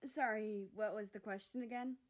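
A woman's voice: medium pitch, fairly even intonation, moderate pace, pitched above the performer's own voice, natural voice. A woman voicing an anxious, distracted young male character in a story, hesitant and sheepish. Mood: startled.

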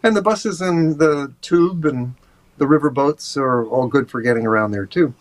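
An older man, a tour guide, chatting over a video call in a relaxed, rambling way with many fillers. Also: mid-range voice, moderate pace. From USA. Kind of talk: casual interview talk. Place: home office, indoors.

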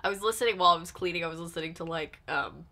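A woman's voice: on the low side, very fast, sharp tone. A woman in her twenties hosting a casual reaction chat as a YouTuber, indoors at desk distance from the mic. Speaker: YouTube host. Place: small furnished room indoors.

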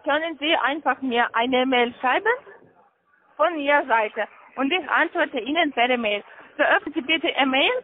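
A woman with a foreign accent, not a native German speaker, giving halting, insistent instructions as a call-centre caller. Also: high-pitched. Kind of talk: scripted phone instructions, spelling out slowly. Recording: tinny, narrow-band phone line.

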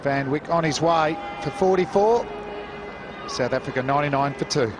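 A male cricket commentator calmly explaining the pitch and bowling on live TV. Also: moderate pace. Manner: calm, technical analysis. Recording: heavy background noise, outdoor broadcast.

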